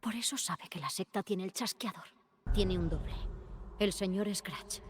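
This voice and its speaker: An actress with a Castilian Spanish accent playing a focused, skeptical female investigator in a dubbed video game, serious and measured. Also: medium pitch, very noisy, close to an everyday voice. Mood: pensive. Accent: Spanish (Spain). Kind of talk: dramatic video game dubbing.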